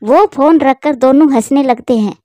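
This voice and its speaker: A woman's voice: sits high, moderate pace, no room sound. Woman narrating a cartoon story. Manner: steady, plain storytelling.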